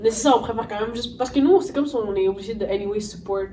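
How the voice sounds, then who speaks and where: A woman's voice: a little high, fast, crowd noise. Young woman mixing in English. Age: young adult. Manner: mixes English words into French. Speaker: podcast co-host. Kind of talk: casual round-table chat. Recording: distant mic with steady noise.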